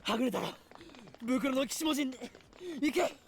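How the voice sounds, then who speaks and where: Desperate, gravelly young man on the run. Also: extremely high pitch, lively intonation, quick pace, background noise, full character voice. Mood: urgent. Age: young adult. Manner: urgent, strained. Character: desperate young man fleeing enemies, protective of his friend. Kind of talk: Japanese visual novel voice acting.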